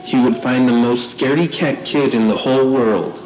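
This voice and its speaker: A woman narrating a children's puppet-show story in deliberate storybook phrasing. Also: very deep voice, darkish tone. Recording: echoey room with noisy crowd.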